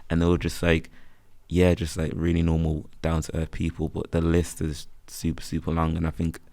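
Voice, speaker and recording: Gravelly deep Birmingham man, relaxed chat. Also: moderate pace, dry recording. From England. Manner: relaxed, rambling, lots of "like". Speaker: guest, freelance photographer. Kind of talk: casual interview chat.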